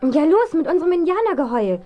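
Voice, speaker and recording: A woman acting an anxious, excitable young girl in a children's audio drama, fretful and full of exclamations. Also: high voice, fast, dull audio. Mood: uncertain. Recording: hissy 1970s vinyl radio play.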